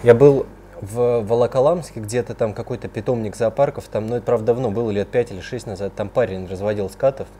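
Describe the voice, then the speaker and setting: A man's voice: fairly low pitch, quick pace, dark tone. A man hosting a casual video tour in informal, slangy, enthusiastic speech, indoors. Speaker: host of an aquarium video series. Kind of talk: casual vlog tour chat. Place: indoors, room with large aquariums. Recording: noticeable background noise.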